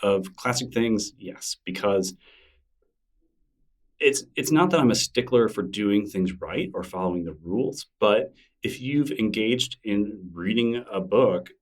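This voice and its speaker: A man with a very low voice talks in an easy, enthusiastic way during an interview, often breaking into laughter. He sits a little back from the microphone. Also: animated, unhurried, recorded from a distance. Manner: chatty, enthusiastic, laughs easily. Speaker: podcast guest, newsletter creator. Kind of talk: casual interview conversation.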